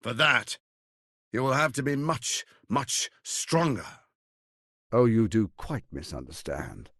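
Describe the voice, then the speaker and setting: An actor voicing a scheming, smug tyrant lord in a video game, speaking in a pompous, silky, menacing and theatrically emphatic way with a gravelly edge. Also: male voice, mid-range voice, very animated, slow pace, a bit bright, no room sound, clean studio sound, heavily acted. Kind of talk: dramatic video game voice acting.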